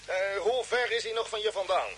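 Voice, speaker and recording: An actor playing a brisk, urgent space expedition commander speaking over a radio link in a vintage radio play. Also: male voice, sits high, fairly bright tone, slight background noise. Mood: urgent, concerned.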